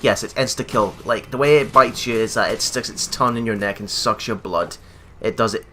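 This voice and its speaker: A man gives casual, explanatory game commentary. His low voice has a bright edge, and game ambience and noise sit clearly behind it. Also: a little fast. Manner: explanatory, chatty. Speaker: let's play co-host. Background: video game sound.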